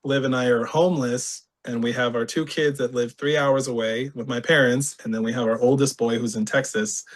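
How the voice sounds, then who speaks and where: A man from California, a podcast guest, talks through his own past in a lively way, laughing often and acting out other people's words. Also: mid-range voice, rough recording. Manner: animated, laughs often, reenacts voices. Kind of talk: candid personal storytelling.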